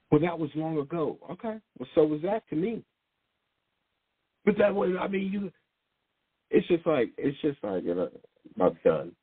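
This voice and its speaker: A man's voice: fairly high pitch, husky, dry recording. A man preaching informally in a rambling, blunt way, often repeating words and restarting. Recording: tinny phone-like sound, dry.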